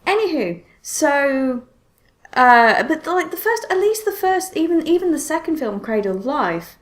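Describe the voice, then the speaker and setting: A woman's voice: high voice, measured pace. Woman podcast host chatting in bursts. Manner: animated storytelling with self-interruptions and exclamations. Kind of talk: casual chat.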